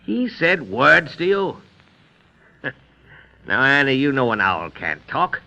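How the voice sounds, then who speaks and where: An actor playing a skeptical, probing defense lawyer who questions with condescension in an old radio drama. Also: male voice, mid-range voice, very animated, slow, vocal fry, sharp tone, full character voice. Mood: incredulous. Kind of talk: 1930s radio drama acting. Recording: dull old radio recording.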